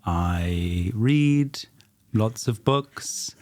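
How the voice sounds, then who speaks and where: A soft-spoken man in his thirties, a comedian guest chatting casually in a studio, hesitant and dry with lots of ums. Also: lowish voice, expressive, drawn-out words, no room sound. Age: 30s. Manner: hesitant, dry and self-deprecating, with frequent ums. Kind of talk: casual podcast chat.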